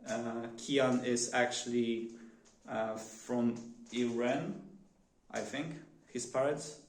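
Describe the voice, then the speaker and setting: Man, Polish accent, unscripted phone vlog; flat, unhurried delivery with lots of "uh"s and restarts, in an echoey room. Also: mid-range voice. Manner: hesitant, many fillers and restarts. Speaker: vlogger. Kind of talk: unscripted one-take monologue.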